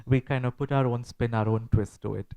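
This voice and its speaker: A man's voice: mid-range voice, steady tone, brisk, narrow, tinny audio. A man with an Indian English accent moderating a live literary discussion, explaining points emphatically with rhetorical repetition. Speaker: panel moderator. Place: live literary festival session.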